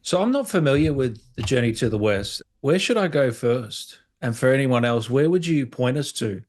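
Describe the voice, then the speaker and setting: A man's voice: medium pitch, expressive, normal speed, a little mellow. A man hosting a casual interview, putting questions to his guest in an informal, chatty way. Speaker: interviewer and show host. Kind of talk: casual chat.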